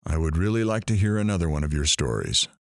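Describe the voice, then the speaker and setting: Man acting a solemn, low-voiced radio host. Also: very animated, fast, gravelly, exaggerated voice. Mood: reflective. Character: weary, reflective male radio talk show host.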